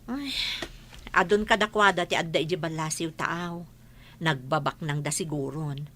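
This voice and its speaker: A woman's voice: low-pitched, moderate pace, a bit bright. An actress playing a wistful, proud mother with old regrets in an audio drama. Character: wistful, proud mother who never finished school. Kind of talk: Ilocano audio drama acting.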